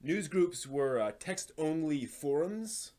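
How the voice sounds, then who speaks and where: A man's voice: fairly high pitch, animated, slow, dark tone. A man hosting a livestream, talking casually with a hesitant, rambling way of explaining things. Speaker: livestream host.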